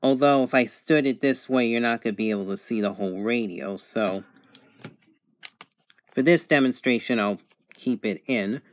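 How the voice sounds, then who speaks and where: A man's voice: mid-range voice, normal speed, no room sound. A man giving a casual, plain, step-by-step product demonstration, as a reviewer recording indoors.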